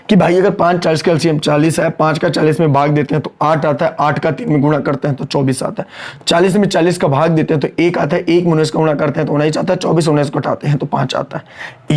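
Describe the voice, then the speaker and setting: Hoarse, brisk Hindi-speaking teacher. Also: male voice, fairly high pitch, a little mellow. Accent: Hindi with English terms. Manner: brisk, scolding, repeats key lines. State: sore, strained throat. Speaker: accountancy teacher. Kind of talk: online lecture, working sums aloud.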